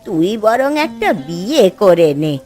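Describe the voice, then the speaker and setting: A woman plays an aged village grandmother from a moral-story cartoon. She is frail and caring, speaking in a fond, gently urging way. Soft music runs underneath. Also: medium pitch, sing-song, unhurried. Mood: plaintive, coaxing.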